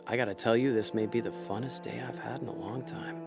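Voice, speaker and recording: A man's voice: mid-range voice, fairly even intonation, quick pace, vocal fry, lightly acted. Actor as wry, cocky noir con man, music behind. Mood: wry, musing. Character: laid-back small-time con man, cocky, smooth-talking tough. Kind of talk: noir audio drama acting, with wry narration.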